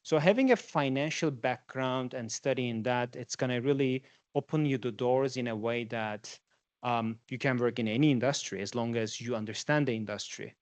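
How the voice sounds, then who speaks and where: A man's voice: mid-range voice, moderate pace, no room sound. A man in his forties from Turkey, a native Turkish speaker, giving a conversational guest lecture to students and asking them questions. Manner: conversational, asks the audience questions.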